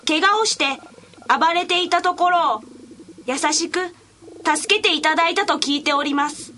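A woman's voice: very high-pitched, moderate pace, pushed and bright, a little hiss or hum. A woman voicing a polite, earnest little boy prince in a radio drama, formally and carefully reading out a letter. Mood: earnest, grateful. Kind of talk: Japanese anime-style radio drama acting.

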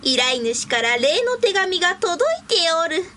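A woman's voice: extremely high pitch, big pitch swings, unhurried, pushed and bright, a little hiss or hum, exaggerated voice. A woman playing a playful, kindly elderly female commander in an anime-style radio drama, with old-fashioned elder speech.

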